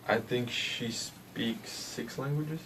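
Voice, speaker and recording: A Latvian man, a hockey player, answering interview questions in English with a Latvian accent, haltingly and with many hesitations. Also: mid-range voice, a bit bright. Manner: halting, hesitant, with frequent "uh" and restarts. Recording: distant mic with faint background noise.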